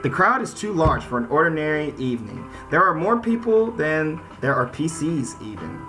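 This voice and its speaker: A man's voice: a little high, big pitch swings, normal speed, bright, forceful tone, low-quality recording. A man doing casual let's-play commentary, reading game text aloud and reacting informally as he goes. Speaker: gaming video host. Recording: music under the voice. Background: music.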